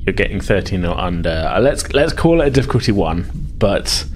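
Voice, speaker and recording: Low-voiced man running a tabletop game, noisy recording. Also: big pitch swings, fairly quick, a little mellow. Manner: hesitant, thinking aloud. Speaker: game master. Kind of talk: casual tabletop game narration. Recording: clearly noisy background.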